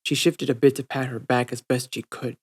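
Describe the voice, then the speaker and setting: A man's voice: mid-range voice, level tone, a little fast, slightly rough. A man reading scripted fiction aloud as an audiobook narrator.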